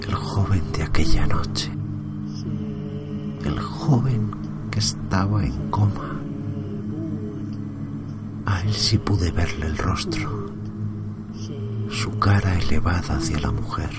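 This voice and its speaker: A low-voiced man tells a first-person horror tale with slow, suspenseful phrasing. His delivery is dramatic and often drops to a hush. Loud music plays under him throughout. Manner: suspenseful, drawn-out phrasing, often hushed and breathy.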